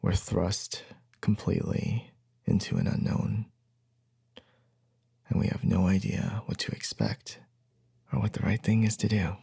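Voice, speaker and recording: Man playing a gentle, gravelly, husky-voiced boyfriend. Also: on the low side, fairly even intonation, heavily acted. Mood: thoughtful, soothing. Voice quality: soft, breathy, husky, often near a whisper. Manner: slow, hesitant, tender, with long pauses. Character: gentle, reassuring young boyfriend comforting his partner. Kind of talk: ASMR boyfriend roleplay, intimate and soothing.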